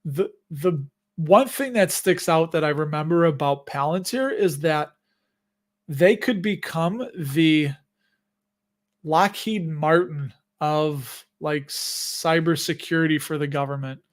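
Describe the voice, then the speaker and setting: A man's voice: fairly high pitch, slow pace. A middle-aged man with a Chicago accent hosts a casual investing livestream, answering viewer questions in a rambling, chatty way and laughing at his own asides. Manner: rambling and chatty, with frequent restarts and self-amused laughs. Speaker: host of a dividend investing livestream.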